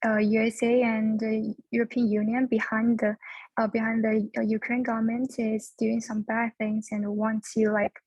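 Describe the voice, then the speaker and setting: A woman's voice: a little high, darkish tone, no room sound, rough recording. A young adult woman from China, speaking hesitantly with many fillers as she searches for words in a class discussion. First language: Chinese. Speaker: student.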